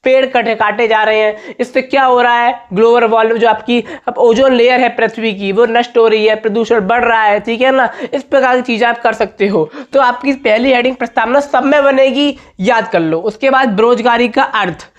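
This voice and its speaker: A man with a slightly hoarse voice, giving an informal exam-tips lesson as a teacher, emphatic and repetitive, often checking that students follow. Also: extremely high pitch, steady tone, moderate pace, hardly any pauses. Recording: dull, muffled lo-fi audio.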